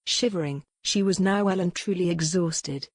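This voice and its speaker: Female narrator for a historical romance audiobook, slightly low register, scripted storytelling, intimate dry sound. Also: normal speed, no room sound.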